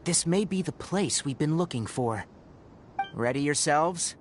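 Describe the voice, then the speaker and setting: An actor playing a calm, methodical expedition leader in an anime video game, speaking in a measured, formal way. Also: male voice, on the high side, slight background noise. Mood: composed.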